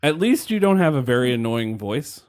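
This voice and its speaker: A man in his thirties co-hosting a podcast in casual chat, excitable, laughing and swearing as he hops between thoughts. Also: medium pitch, moderate pace. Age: 30s. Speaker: podcast co-host.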